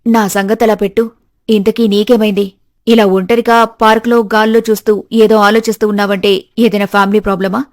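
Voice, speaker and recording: A woman voicing a confident, motherly and slightly crafty middle-aged aunt in an animated story. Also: medium pitch, brisk, no echo, clean studio sound, lightly acted. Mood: motherly, friendly. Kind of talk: animated moral story dialogue.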